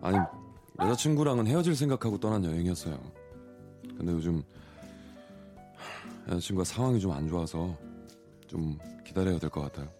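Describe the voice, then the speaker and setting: A man's voice: deep voice, lively intonation, fairly quick, pauses often, music in the background, pitched below the performer's own voice. A man playing an earnest, forward suitor of thirty-one in a radio story reenactment. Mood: earnest confession. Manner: casual, pushy, earnest. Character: forward, earnest 31-year-old man courting a woman.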